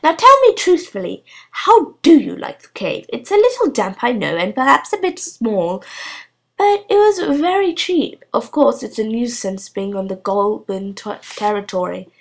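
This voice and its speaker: A woman's voice: a little high, sing-song, moderate pace, gravelly. A woman voicing a slovenly, eager-to-please witch in a storybook, with a fussing, over-friendly, exclamatory manner. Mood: cheerful, proud.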